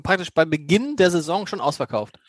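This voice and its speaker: A man's voice: a little high, lively intonation, a little fast, slightly rough. A man hosting a casual chat, eagerly cutting in with questions. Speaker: podcast host asking questions.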